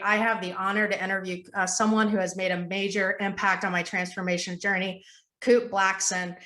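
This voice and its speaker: A woman's voice: mid-range voice, sharp tone, echoey room. A woman hosting a podcast as a health coach, talking in an upbeat, gushing, motivational way. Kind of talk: enthusiastic podcast interviewing.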